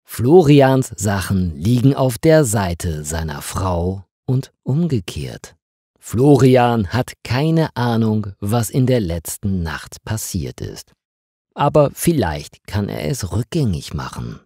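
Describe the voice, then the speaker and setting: A man narrating a scripted story for language learners in a deliberate, expressive and carefully phrased way. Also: on the low side, slightly rough, no echo, studio quality.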